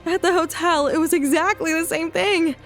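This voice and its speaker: Very high-pitched, urgent young woman, acted out. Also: steady tone, moderate pace. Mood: alarmed. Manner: urgent, dramatic. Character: anguished young woman healer, frantic but determined. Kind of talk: audio drama acting. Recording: music under the voice.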